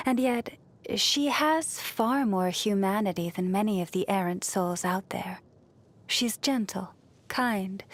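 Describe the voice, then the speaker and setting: Game casting: actress as a kind, serene priestess who guards nature; soft, soothing, reverent delivery at a slow pace, mid-range female voice. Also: mid-range voice, close to an everyday voice. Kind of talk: scripted video game character voice lines.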